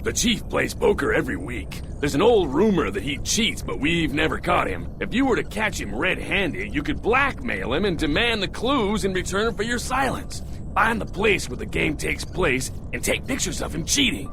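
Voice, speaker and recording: A man voicing a corrupt, pushy robot cop in a video game, bullying and boastful, with a gravelly voice. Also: a little high, big pitch swings, normal speed, noisy background. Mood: conspiratorial. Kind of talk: scripted game dialogue.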